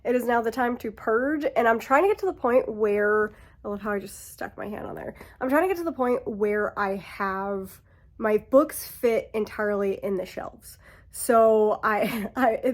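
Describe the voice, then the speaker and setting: A woman in her thirties with a congested voice, chatting casually and rambling to the camera at home. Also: mid-range voice. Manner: chatty, rambling, laughs often. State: congested, feeling sick. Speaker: booktuber. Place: home, sorting bookshelves indoors.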